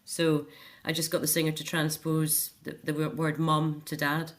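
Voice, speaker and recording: A middle-aged Scottish woman with a slightly low voice talks as an interview guest. She is chatty and earnest, moving through her thoughts in an informal, anecdotal way. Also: monotone, moderate pace. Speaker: interview guest, author and care home activities volunteer or worker. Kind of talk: informal radio interview chat.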